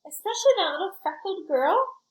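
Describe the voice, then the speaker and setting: A woman's voice: very high-pitched, animated, a little fast, voice pushed up. A woman voicing a shy, earnest, childlike male school building in a children's storybook read-aloud. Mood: eager.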